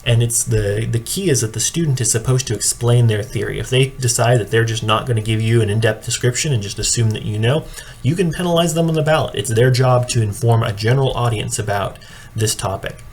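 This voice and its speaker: A man giving an instructional presentation like a coach, explaining in a measured, matter-of-fact way. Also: mid-range voice, darkish tone. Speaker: speech and debate coach training judges. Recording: clearly audible background noise.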